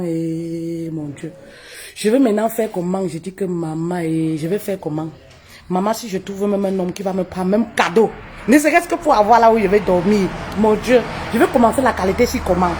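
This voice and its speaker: A woman, telling her own story live, her voice jumping high for emphasis and dropping low and quiet. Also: a little hiss or hum. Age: 30s. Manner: expressive, swoops high for emphasis, drops low and quiet, laughs often. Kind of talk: animated personal storytelling. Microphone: phone on a live stream.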